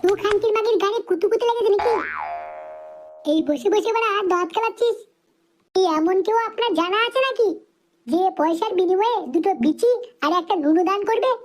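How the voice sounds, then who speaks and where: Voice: very high voice, brisk. A performer voicing a cheeky, crude young hospital assistant in a comedy cartoon dub. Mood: brisk, blunt. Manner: cheeky, foul-mouthed.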